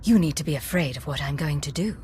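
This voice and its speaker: Low-voiced, defiant agent. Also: female voice, animated, fast talker, a little mellow, a little hiss or hum. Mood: cool defiance. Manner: firm, controlled, challenging. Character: defiant, cool-headed female agent and commander. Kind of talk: dramatic video game voice acting.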